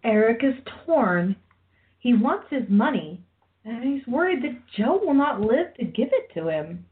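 A woman's voice: a little high, very animated, unhurried. A woman narrating a radio play in a scripted storytelling style. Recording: tinny phone-like line.

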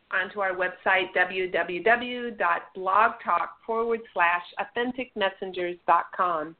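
A woman hosting an interview radio show, introducing the program and her guest. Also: medium pitch. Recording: narrow tinny phone-line sound.

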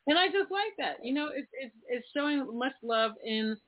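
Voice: high voice, animated, normal speed, dry recording. A podcast host talking casually with a guest, chatty and opinionated, telling stories with animation. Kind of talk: casual interview chat. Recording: tinny phone-like line, dry.

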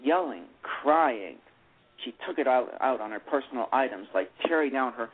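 A man reads a novel aloud as narrator, at a steady pace and often catching himself to correct a word. His voice is husky. The audio is narrow and tinny, like a phone call. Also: mid-range voice, normal speed. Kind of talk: reading a novel aloud on radio. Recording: tinny phone-line radio.

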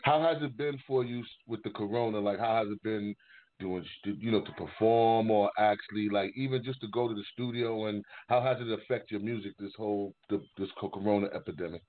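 A man hosting a casual interview, asking questions haltingly and rephrasing them midway. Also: on the low side. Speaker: interviewer, show host. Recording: narrow-band, phone-like sound.

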